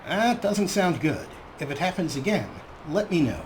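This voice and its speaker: A man's voice: fairly high pitch, big pitch swings, moderate pace, a little hiss or hum. A man hosting a gaming let's play, commenting in character with theatrical comic role-play.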